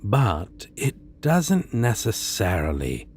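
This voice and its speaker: A man's voice: on the low side, huge pitch swings, slow pace, darkish tone, a little hiss or hum, heavily acted. A man playing a theatrical, suave and condescending costumed supervillain in an ASMR roleplay. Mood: amused, inviting. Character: theatrical, stately, amused supervillain in costume interviewing a minion.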